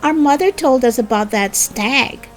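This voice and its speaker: A woman's voice: high-pitched, unhurried, soft background music, pitched above the performer's own voice. A woman voicing a friendly young female fawn in a storybook, childlike, knowing and playful. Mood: earnest.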